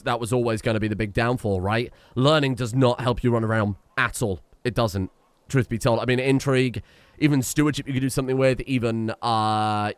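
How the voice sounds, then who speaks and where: A young man giving casual, excitable commentary on a strategy game, often exclaiming and restarting his sentences. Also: medium pitch, clear, resonant tone, dry recording. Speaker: gaming streamer. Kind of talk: casual let's-play commentary.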